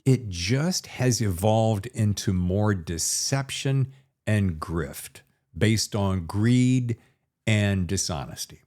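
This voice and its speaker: Guy podcast host, lowish voice that moves around a lot, wordy and musing, chatting in an interview. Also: animated, slow pace, darkish tone. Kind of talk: conversational interview.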